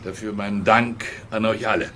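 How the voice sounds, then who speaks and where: Bright, hearty male boss giving a speech acted. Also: fairly low pitch, flat delivery, moderate pace, a little hiss or hum, close to an everyday voice. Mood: hearty, official. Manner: hearty, mock-formal, addressing a group. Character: male police superior, jovially official speechmaker. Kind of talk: German radio drama acting, office speech.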